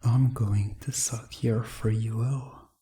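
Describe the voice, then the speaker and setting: A man voicing a commanding, seductive vampire master in a hushed, deliberate, hypnotic ASMR roleplay. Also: medium pitch, steady tone, far from the mic, lightly acted. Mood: menacing, seductive.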